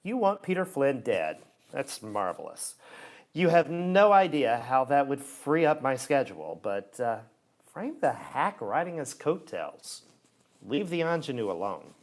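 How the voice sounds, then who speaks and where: A conspiring, sardonic man of the theater. The mid-range male voice rises and dips expressively, smooth-talking in the style of a radio play. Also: medium pitch, very animated, unhurried. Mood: amused, calculating.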